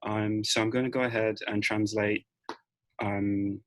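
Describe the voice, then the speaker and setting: Low, flat young man. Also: measured pace, dry recording. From Nigeria. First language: Igbo. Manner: relaxed, with frequent "um"s. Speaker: presenter, research scientist. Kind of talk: casual presentation. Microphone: video call.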